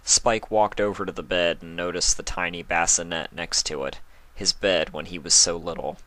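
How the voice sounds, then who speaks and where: A man narrates a written story in a deep voice. He reads it as scripted prose, and the sound is dry with very little room in it. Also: fairly even intonation, moderate pace, bright, forceful tone, dry recording, rough recording.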